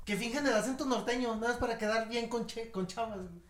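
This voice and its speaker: A man's voice: very high-pitched, fast. A man with a Mexican accent bantering casually in slang on a group podcast. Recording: roomy echo.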